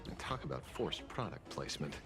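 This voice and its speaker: Wry, lively game detective. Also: male voice, on the low side, a little fast, gravelly. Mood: amused. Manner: relaxed, conversational, wry. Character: easygoing, wry male detective in an adventure game. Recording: game music behind.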